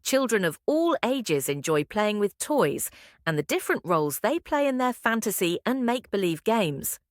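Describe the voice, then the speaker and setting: Lively-pitched woman reading aloud. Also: moderate pace, no room sound, clean studio sound. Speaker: test-prep narrator reading practice passages. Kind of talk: scripted read-aloud narration.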